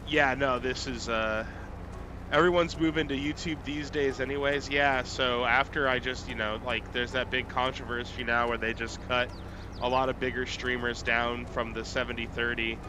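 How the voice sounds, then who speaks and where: A man's voice: medium pitch, flat delivery, moderate pace, noisy background. A man live-streaming a farming game, rambling and thinking aloud with lots of fillers, still a little congested from being sick. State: congested, recovering from illness. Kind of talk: casual gameplay live stream chat.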